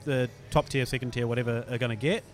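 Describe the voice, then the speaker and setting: A man's voice: mid-range voice, fairly even intonation, fast talker. A man with a New Zealand accent chatting informally as a podcast host, self-deprecating and happy to swear. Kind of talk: casual podcast chat.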